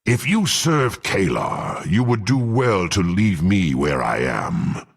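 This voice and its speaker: A menacing, proud half-orc fighter from a fantasy game. He talks slowly and formally in a gruff, husky voice, swinging from growls to haughty commands. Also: sits low, very animated, exaggerated voice. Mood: grim, menacing. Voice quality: gruff, gravelly. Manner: haughty, threatening, formal archaic speech. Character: menacing proud half-orc warrior sworn to dark patron. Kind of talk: dramatic fantasy game voice acting.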